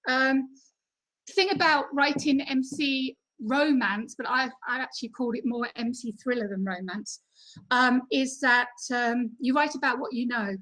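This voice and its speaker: A woman's voice: high voice, fairly even intonation, moderate pace, a bit bright, rough recording. A British woman being interviewed as a guest author, chatty, with many fillers and easy laughter. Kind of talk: casual interview over video call.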